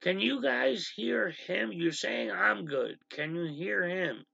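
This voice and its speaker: A man with a strongly gravelly, fried voice and a bright, forceful edge talks casually to his livestream audience, exasperated and animated. He sits close to a dry mic, and the stream audio sounds a little dull and lo-fi. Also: sits high, no echo. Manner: animated, exasperated, quick asides to the stream chat. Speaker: livestream host.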